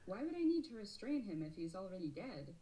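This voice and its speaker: A woman's voice: mid-range voice, big pitch swings, brisk, mellow, lightly acted. A woman acting a sarcastic, no-nonsense female character in short takes for an amateur audio drama. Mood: sarcastic, questioning. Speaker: voice actor in a fan audio drama. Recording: noisy amateur home voice recording.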